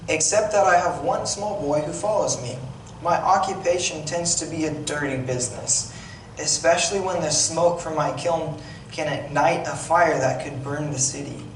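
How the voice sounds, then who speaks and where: A man's voice: a little high, lightly acted. A man reading aloud as a plain-spoken, wry, slightly grumbling village potter, in a conversational storytelling way with occasional stumbles. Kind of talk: dramatic monologue read aloud. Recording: echoey room, distant mic, noisy background.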